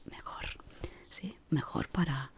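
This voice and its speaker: A woman from whispers softly and without hurry in an ASMR roleplay, gently giving instructions as a decorator guiding a newcomer. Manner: soft, unhurried, gently instructing.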